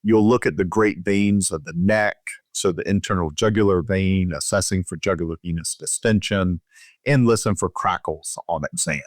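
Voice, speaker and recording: A man's voice: sits low, normal speed, no room sound, clean studio sound. A man speaking as a physician guest, explaining clinical findings in a conversational interview style.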